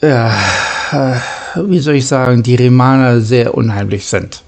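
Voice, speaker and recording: A man hosting a casual let's play, talking in a halting, deliberate way and slipping into role-play. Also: medium pitch, creaky, a little mellow.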